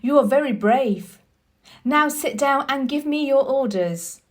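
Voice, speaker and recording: A woman's voice: high-pitched, lively intonation, measured pace, pitched above the performer's own voice. A woman reading a children's storybook, voicing a blunt but loyal, tender-hearted old gardener with emphatic delivery. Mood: fond, loyal.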